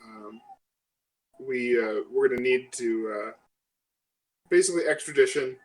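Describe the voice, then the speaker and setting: A man playing a professional, diplomatic female marshal in a tabletop game, speaking formally and courteously with halting phrasing. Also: medium pitch, close to an everyday voice, rough recording. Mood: formal, apologetic.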